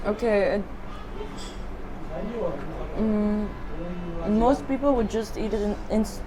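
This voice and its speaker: A woman's voice: mid-range voice, fairly even intonation, slow, music in the background. A young woman from Belgium, raised with Dutch and French, chatting casually and laughing often over dinner. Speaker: guest. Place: busy izakaya restaurant. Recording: noisy restaurant crowd behind.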